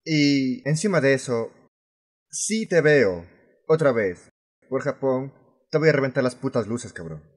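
A man's voice: medium pitch, expressive, unhurried, breathy edge. A man voicing an angry, foul-mouthed expat vlogger in Latin American Spanish, sarcastic, emphatic and confrontational, in the style of a fan dub. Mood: threatening. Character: furious, foul-mouthed male expat vlogger ranting about rude tourists.

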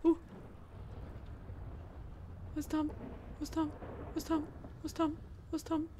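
A woman's voice: sits high, completely flat delivery, unhurried, warm tone, slight background noise. A woman livestreamer improvising role-play in a game, talking in a panicky way and repeating her phrases. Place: indoor streaming room.

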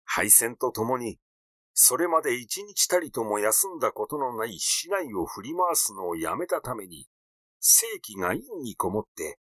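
A man reading literary fiction aloud as a narrator, in a measured storytelling delivery. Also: medium pitch, big pitch swings, fairly bright tone, dry recording. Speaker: audiobook narrator.

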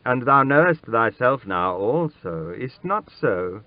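A man's voice: fairly high pitch, lively intonation, slow pace, no echo, dull audio, pitched above the performer's own voice. A man voicing an ailing old king who speaks to his child in stately, archaic words with fatherly tenderness and sorrow. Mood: soothing. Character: stern old ailing king turned gentle, grieving father.

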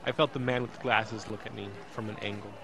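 An actor playing an anxious, emotional young man who narrates his ordeal in an audio drama, intense and halting. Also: on the low side, fairly even intonation, gravelly, a crowd in the background. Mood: tense, afraid. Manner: intense, halting, repeats words.

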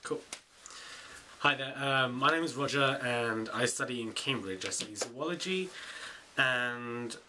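A man in his twenties chatting casually to camera in a small bedroom, animated and full of fillers and self-corrections. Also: mid-range voice, unhurried, distant mic, background noise. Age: 20s. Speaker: vlogger, zoology student. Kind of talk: casual vlog chat to camera.

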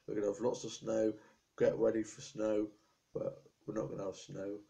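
Young man, from England, rambling webcam vlog, gravelly, mellow dark tone, slightly sore throat. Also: lowish voice. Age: young adult. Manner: chatty, rambling, stream of lists. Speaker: vlogger. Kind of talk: casual chat to camera. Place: small indoor room with appliances. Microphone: webcam at desk.

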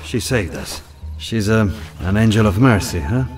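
A man playing an amiable, hesitant partisan in a video game, speaking haltingly. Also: fairly low pitch, expressive, soft background music, close to an everyday voice. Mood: bashful, amused. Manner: halting, with chuckles. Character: hesitant, smitten, amiable male Italian partisan.

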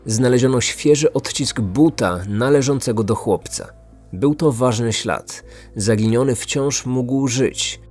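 A man narrates a scripted true-crime podcast as its host. His pitch is average for a male voice, and music plays underneath. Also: a little mellow.

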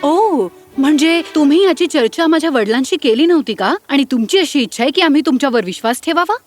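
Woman as a proud high-voiced young princess. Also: lively intonation, a little fast, soft background music. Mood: challenging. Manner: poised, assertive, formal.

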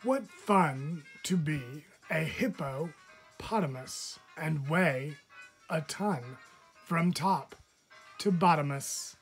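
A man, a teacher, reading children's animal poems aloud in a measured, expressive storytelling manner. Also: a little high, soft background music.

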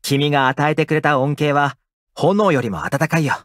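An actor playing a friendly, caring young housekeeper in an anime-style game, speaking casually and expressively with gentle attentiveness. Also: male voice, fairly high pitch, normal speed, no echo, clean studio sound, natural voice. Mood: earnest. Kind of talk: Japanese anime game character voice lines.

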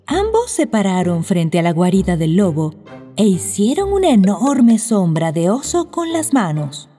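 A woman's voice: mid-range voice, big pitch swings, a little slow, warm tone, husky, long reverb tail, soft background music. A woman narrating a children's bedtime fairy tale from a script.

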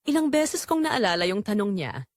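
A woman playing Rosa's part, a lonely, neglected wife in her forties, telling her story in a confessional, dramatic audio narration with short pausing phrases. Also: medium pitch, very animated, no echo, lightly acted. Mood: conflicted, longing. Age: 40s. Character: Rosa, lonely neglected forty-three-year-old wife, wistful and conflicted.

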